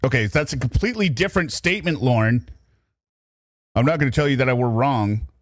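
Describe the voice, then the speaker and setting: A man's voice: mid-range voice, animated, a little fast. A man hosting a casual livestream, reacting and commenting in a chatty, teasing, mock-exasperated way. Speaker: livestream host.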